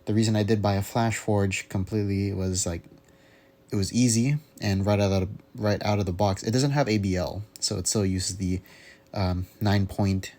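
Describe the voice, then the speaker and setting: A young man with a low-pitched voice talks off the cuff in a casual audio diary. He rambles along haltingly, often searching for the right word. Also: steady tone, a little mellow. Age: young adult. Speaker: hobbyist vlogger. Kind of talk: casual unscripted audio log.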